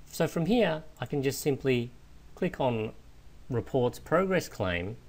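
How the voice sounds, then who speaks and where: A man giving an informal, step-by-step software walkthrough, explaining as a screencast instructor. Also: mid-range voice, big pitch swings, slow. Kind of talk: step-by-step screencast tutorial narration.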